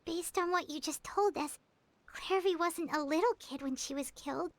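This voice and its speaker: Game sidekick: tiny curious girl fairy, extremely high squeaky childlike voice, earnest, dry close recording. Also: moderate pace, no room sound. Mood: curious, puzzled. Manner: inquisitive, earnest. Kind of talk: cartoonish video game voice acting.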